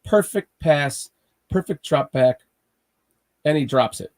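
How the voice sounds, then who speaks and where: A man hosting a casual sports podcast. He has a cold and sounds slightly raspy, and he rambles with frequent restarts, like a nervous fan. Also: fairly high pitch, lively intonation, slow, darkish tone. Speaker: podcast host.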